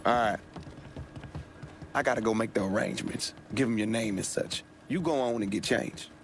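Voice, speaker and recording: A man's voice: fairly low pitch, moderate pace, background noise, natural voice. A man playing an easygoing, streetwise fight handler in a crime video game, talking casually. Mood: calm, coaching. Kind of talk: naturalistic video game acting.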